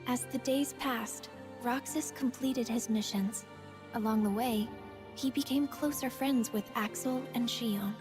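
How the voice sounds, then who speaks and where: High-voiced woman narrator over music. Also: measured pace. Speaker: narrator of a video game story recap. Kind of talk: scripted narration.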